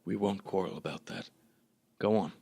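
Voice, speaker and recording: Radio play lead, male actor: sober, haunted survivor, lowish voice, formal diction, grave measured delivery. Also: vocal fry, mellow, slightly rough, exaggerated voice. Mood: grave, questioning. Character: sober, weary educated man, lone survivor narrating his wanderings. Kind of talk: radio play dramatic narration.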